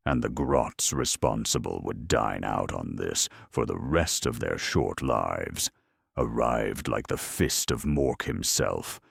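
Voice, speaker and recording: A man narrating a scripted story dramatically, in a deliberate, grimly wry manner. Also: a bass voice, gravelly, husky, dry recording, studio quality.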